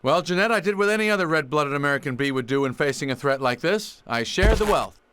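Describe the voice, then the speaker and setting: Man as a wisecracking young cartoon bee, high animated voice, glib, theatrical, game dialogue. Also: fast, heavy background noise, close to an everyday voice. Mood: glib, chatty.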